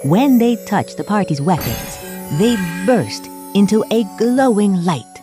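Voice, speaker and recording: A woman narrating a tabletop fantasy adventure as game master, in dramatic scripted storytelling style. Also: mid-range voice. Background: music.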